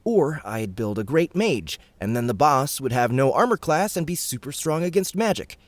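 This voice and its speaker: Man doing an excitable, higher-pitched cheeky gamer guy. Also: moderate pace, dry recording, lightly acted. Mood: excited. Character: excitable, cheeky young male gamer villain. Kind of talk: audiobook character acting.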